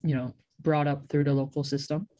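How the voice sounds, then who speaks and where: A woman's voice: low-pitched, fairly even intonation, a little fast, dark tone, no echo. A young woman from Hong Kong co-hosting a podcast, chatting casually and reflectively, often saying "like". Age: young adult. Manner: reflective, peppered with "like". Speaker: podcast co-host. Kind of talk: casual chat.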